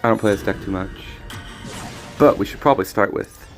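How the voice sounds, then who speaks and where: A man casually commenting on his card game as he plays, thinking aloud with frequent restarts, his voice a little raspy today. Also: lowish voice, fairly quick, faint music behind. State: slightly raspy voice. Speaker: streamer.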